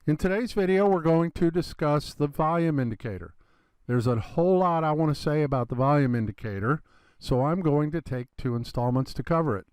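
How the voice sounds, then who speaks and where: A man with a slightly congested, gravelly voice, explaining a trading chart in a relaxed lesson and calling his viewers "you guys". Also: fairly high pitch, a little fast, no room sound. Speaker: trading educator. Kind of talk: screen-recorded trading lesson.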